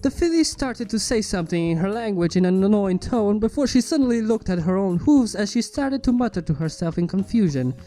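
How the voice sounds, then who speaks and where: A woman narrates a scripted story in a mellow, soft-edged voice at a middling pitch. Quiet music plays behind her reading. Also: lively intonation, a little fast, few pauses. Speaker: fan fiction narrator. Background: background music.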